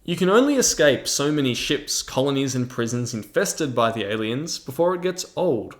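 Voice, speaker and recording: A man's voice: medium pitch, very animated, normal speed. A man presenting a scripted review as the host of a video. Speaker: tabletop game reviewer and host. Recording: roomy, echoing sound.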